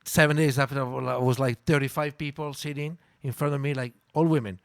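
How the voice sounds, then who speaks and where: A man with an Italian accent, a native Italian speaker, talking in a casual interview. He tells lively stories, repeats words and stops to search for English words. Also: medium pitch, a little fast, gravelly, no room sound, clean studio sound. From Italy. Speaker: guest.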